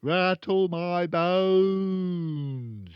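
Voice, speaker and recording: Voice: mid-range voice, extremely slow, clear, resonant tone, no room sound, highs cut off, pitched above the performer's own voice. A narrator voicing a talking parrot in a storybook, repeating short phrases in imitation of human speech. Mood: spooky.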